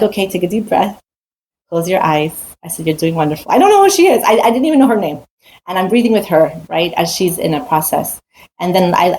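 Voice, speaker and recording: A woman's voice: medium pitch, darkish tone, slight background noise, poor audio. An adult woman teaching a weekly Torah portion in a casual, earnest way, with frequent restarts and rhetorical questions. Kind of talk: casual spoken Torah talk.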